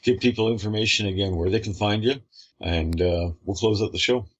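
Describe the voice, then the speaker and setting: A man's voice: fairly low pitch, fairly quick, dull audio. A male radio host losing his voice, straining as he talks, friendly and upbeat with his guest. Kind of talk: casual radio interview.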